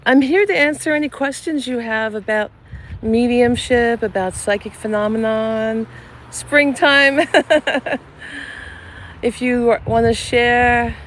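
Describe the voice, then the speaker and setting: Soft-spoken woman live-streaming outdoors. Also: a little high, slow pace. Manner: soft-spoken, gentle and soothing. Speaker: psychic medium and channel host. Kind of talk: casual live-stream chat while walking. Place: outdoors in a cemetery among cherry trees. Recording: outdoor live stream with clear ambient noise. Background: birds and passing traffic.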